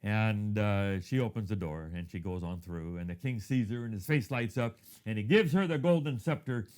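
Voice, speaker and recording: A man in his fifties who grew up in Thailand, giving a reflective devotional talk built around a personal story and often dropping to a hushed tone. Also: fairly low pitch, moderate pace. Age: 50s.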